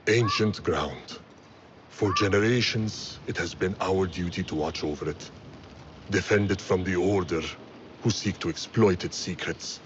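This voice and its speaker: An actor playing a solemn male mentor of an assassin order in a video game, speaking gravely and urgently. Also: sits low, measured pace, muffled recording, background noise. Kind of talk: video game cutscene acting.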